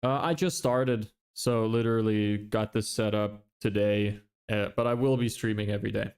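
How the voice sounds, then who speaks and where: A young man with a fairly low voice chats casually on a livestream, answering viewers as he works. He is from Lithuania but grew up in Ireland. His talk is relaxed and rambling, full of restarts. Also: normal speed, clean tone. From Lithuania, raised in Ireland. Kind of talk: casual livestream chat, reading chat.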